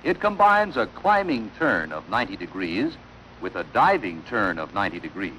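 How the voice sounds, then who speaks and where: A man delivering formal, measured instructional narration in the style of a wartime training film, on an old film soundtrack. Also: lowish voice, sing-song, pushed and bright, a little hiss or hum, low-quality recording.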